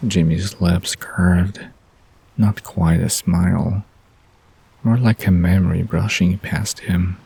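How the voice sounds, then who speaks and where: A man narrating a scripted bedtime story in a soft, dreamy manner. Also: low voice, steady tone, takes it slowly, gravelly, slight background noise.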